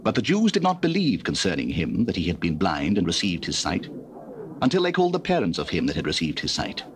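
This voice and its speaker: A man's voice: deep voice, wildly swooping pitch, brisk, a little hiss or hum. A man giving scripted scripture narration on an old cassette recording.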